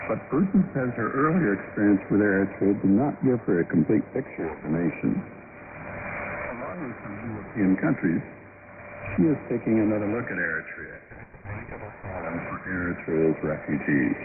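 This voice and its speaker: A man's voice: on the low side, expressive, moderate pace, phone-quality sound, heavy background noise. A man narrating a scripted radio news report as a reporter, heard over a shortwave broadcast.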